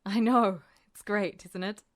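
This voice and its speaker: Lady doing a tired grown guy, gentle n wry, drops her voice lower, storybook style. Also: steady tone, unhurried, no echo, clean studio sound, natural voice. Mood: tender, wry. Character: weary, teasing, gently amused grown man.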